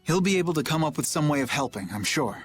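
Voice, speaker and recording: An actor playing an earnest, dutiful young knight in a video game, speaking politely and seriously. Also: male voice, on the high side, expressive, quick pace, faint music behind, natural voice.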